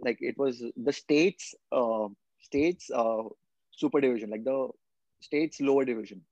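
A young man from India speaks earnestly in a casual podcast chat, often circling back and repeating words while he explains. His voice sits at an ordinary middle pitch. The recording is dry and a little dull. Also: measured pace, a little mellow, dry recording. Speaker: guest footballer.

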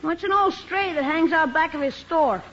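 A man's voice: extremely high pitch, fairly bright tone, slight background noise. An actor playing a cheeky young boy nephew in a 1950s radio sitcom, dull old broadcast sound. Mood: scolding. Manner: boyish, earnest, quick.

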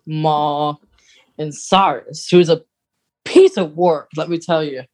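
A woman's voice: sits low, steady tone, slow, a little mellow. A woman co-hosting a podcast in casual, playful chat, chiming in with corrections. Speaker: podcast co-host.

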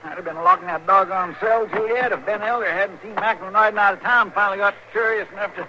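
A man plays a loyal, grumbling frontier deputy with a high voice and a plaintive Western American drawl. He talks in a folksy way, in old radio western style, through clear recording noise. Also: steady tone, fast, natural voice. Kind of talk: 1950s radio drama acting. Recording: noisy 1950s radio recording.

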